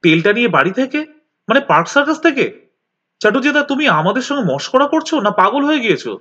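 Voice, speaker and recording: A man's voice: very high-pitched, big pitch swings, quick pace, a bit bright, husky, lo-fi sound, pitched above the performer's own voice, full character voice. A man voicing an exasperated, incredulous younger friend in a comic story, questioning and pleading.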